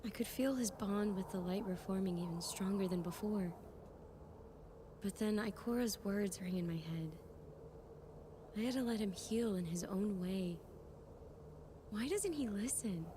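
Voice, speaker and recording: A woman's voice: medium pitch, a little slow, pauses often, noisy background, natural voice. A woman playing a proud, impatient warlock, narrating in the first person in a fan audio drama. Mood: frustrated, indignant. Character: frustrated, headstrong young female warlock, proud and impatient.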